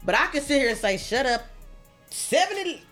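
A young adult woman with a raspy voice, talking bluntly and profanely in a casual podcast chat, animated and laughing often. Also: high-pitched, normal speed, faint music behind. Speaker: podcast guest.

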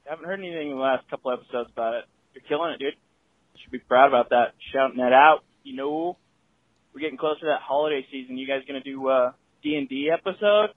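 A man's voice: medium pitch, fast talker, no echo. A man calling in to a podcast, casual and upbeat, talking like a buddy. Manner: casual, upbeat, says dude. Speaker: caller. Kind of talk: voicemail call-in. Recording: tinny phone line.

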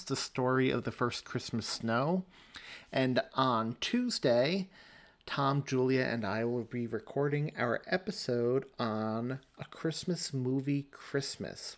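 A man speaks as a podcast host, with a medium-pitched voice. He runs through announcements in a chatty, promotional style and keeps an enthusiastic, upbeat manner.

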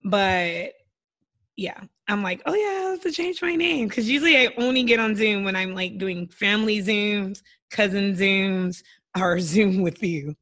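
A woman in her thirties with a slightly hoarse voice, co-hosting a podcast in a casual, joking, giggly way. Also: mid-range voice, a little slow, a bit bright. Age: 30s. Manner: giddy, giggly. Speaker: podcast co-host. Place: remote video call.

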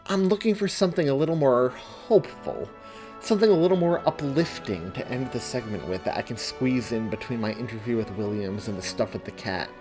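A man's voice: mid-range voice, expressive, brisk, a little mellow, soft background music, close to an everyday voice. Man reading as an earnest young woman journalist. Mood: dissatisfied, insistent. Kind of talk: audiobook character dialogue.

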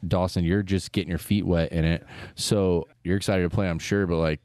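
Rambling low-voiced male golf host. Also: steady tone, fairly quick, a little mellow, no room sound, studio quality. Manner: rambling, many restarts and fillers. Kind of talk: casual interview chat.

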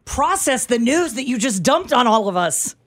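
Deadpan woman reading scanner reports. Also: fairly high pitch, moderate pace. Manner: dry, matter-of-fact dispatcher delivery. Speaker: radio show co-host. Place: radio studio. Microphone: studio microphone.

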